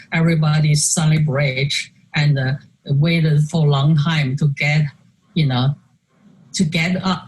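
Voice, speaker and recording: An older woman whose first language is Chinese, speaking English slowly and haltingly with many fillers and restarts as she presents her artwork in a video call talk. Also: low voice, a little mellow, rough recording. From China. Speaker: artist. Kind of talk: virtual artist talk presenting slides.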